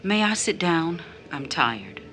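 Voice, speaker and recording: A woman's voice: sits low, big pitch swings, slow, fairly bright tone, faint music behind, exaggerated voice. An actress playing a tired, numb, poor mother, wary and protective, with blunt questioning, in an audio drama. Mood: weary, guarded. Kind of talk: scripted audio drama acting.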